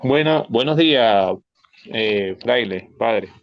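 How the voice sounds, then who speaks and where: A man's voice: medium pitch, animated, slow, creaky, no echo, dull audio. A man from Venezuela with a Venezuelan accent, a teacher commenting spontaneously, hesitant and repeating himself as he searches for words. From Táchira, Venezuela. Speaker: school religion teacher.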